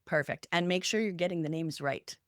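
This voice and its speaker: A woman's voice: fairly low pitch, a little fast, no room sound, clean studio sound. A woman co-hosting a podcast in a conversational, advice-giving style. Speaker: podcast co-host.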